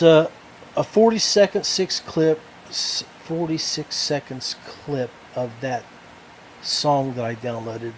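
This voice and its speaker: An American man hosting a podcast in a casual, rambling way, halting as he searches for words. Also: mid-range voice, a little hiss or hum, rough recording. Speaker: podcast host.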